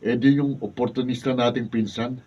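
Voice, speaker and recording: Envious son, played by a man, husky voice, distant lo-fi mic. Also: medium pitch, fairly even intonation, normal speed, lightly acted. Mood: bitter. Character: resentful adult son, envious and bitter.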